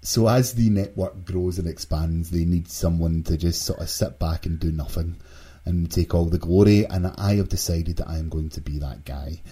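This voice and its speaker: A man hosts a chatty podcast in a very deep voice. His tone is soft-edged and husky, and his talk is casual and full of banter. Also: steady tone, normal speed. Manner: jokey banter. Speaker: podcast host. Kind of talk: casual group podcast chat.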